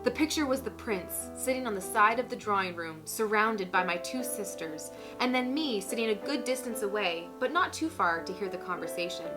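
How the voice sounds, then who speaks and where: A woman in her twenties hosting a casual comedic vlog, giggly, animated and self-mocking, sometimes mock-solemn as she reads aloud. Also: mid-range voice, normal speed, few pauses, over music, rough recording. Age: 20s. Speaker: vlog host reading own teenage writing. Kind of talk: casual comedic vlog chat with dramatic reading.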